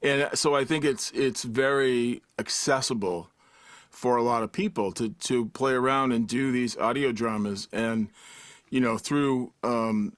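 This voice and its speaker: A man talking casually on a panel in halting, hesitant phrases as he gathers his thoughts. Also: mid-range voice, fairly even intonation, fairly bright tone, no echo, studio quality. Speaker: panelist, college teacher.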